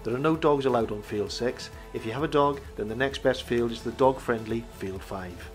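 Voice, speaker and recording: A man reading a scripted campsite review voice-over in an even, measured way. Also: medium pitch, soft background music.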